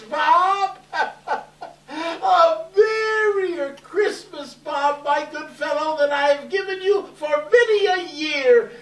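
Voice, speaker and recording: An old gentleman, giddy and kindly, acted by a man on stage in a theatrical, exclaiming way. His pitch swoops widely and his pace is unhurried. It is heard from a distance in an echoey hall. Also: heavily acted. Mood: jubilant. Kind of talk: readers theater stage acting.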